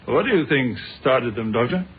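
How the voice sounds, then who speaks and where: An actor playing a polite, probing British police inspector in a radio drama, with a measured, formal manner. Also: male voice, medium pitch, animated, slight background noise, natural voice. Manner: measured, courteous, formal questioning. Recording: thin phone-like radio sound, faint music.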